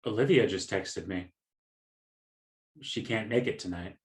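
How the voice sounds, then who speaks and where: A man's voice: on the low side, a little slow, lightly acted. A man acting in a radio play as a polite, hesitant, heartbroken customer. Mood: dejected. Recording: roomy echo on the voice.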